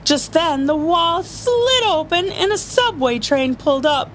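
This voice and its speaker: A woman's voice: very high-pitched, very animated, measured pace, slight background noise. A woman reading a children's picture book aloud as a story-time reader, playful and often chuckling.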